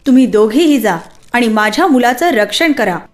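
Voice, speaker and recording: A woman's voice: fairly high pitch, animated, normal speed, soft background music, close to an everyday voice. A woman voicing a proud, protective mother goddess in a children's cartoon, regal and commanding. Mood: stern. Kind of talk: children's mythological cartoon dialogue.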